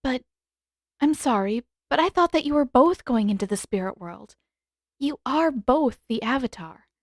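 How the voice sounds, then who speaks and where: A woman's voice: high-pitched, expressive, moderate pace, dry recording, clean studio sound, voice pushed up. A woman voicing a polite, hesitant, concerned teenage girl in a story reading. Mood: hesitant, confused. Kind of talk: dramatic audiobook character reading.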